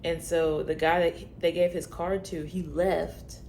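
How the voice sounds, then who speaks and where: A woman's voice: fairly low pitch, little pitch movement, a little slow, a little mellow, slight background noise. A woman in her thirties co-hosting a podcast, telling a story in casual chat with many fillers. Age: 30s. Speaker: podcast co-host.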